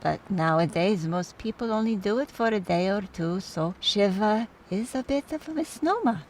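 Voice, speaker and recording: A woman acting in an audio drama as a wry, skeptical mourner, talking in a measured, conversational way over background noise. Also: mid-range voice, animated, lightly acted, poor audio. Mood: explaining, wry.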